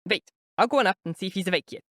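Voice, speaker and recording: A reader voicing a steady, reassuring young woman who comforts her mother. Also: medium pitch, very fast, no room sound, studio quality, voice pushed up, lightly acted. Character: young woman, calm, firm, protective daughter.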